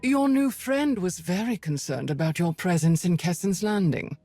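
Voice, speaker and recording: Game villain: commanding Imperial spymaster. Low-key menace, formal diction, dry close recording. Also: female voice, lively intonation, no room sound, soft background music. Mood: cold, accusing. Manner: formal, measured, quietly threatening. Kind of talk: video game voice acting.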